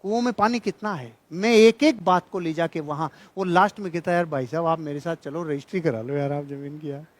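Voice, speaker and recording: High-pitched man giving a motivational talk. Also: a little fast, hardly any pauses. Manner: emphatic, repeats key phrases for effect; jocular, colloquial storytelling. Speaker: motivational speaker and trainer. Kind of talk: motivational seminar speech with anecdotes and humour.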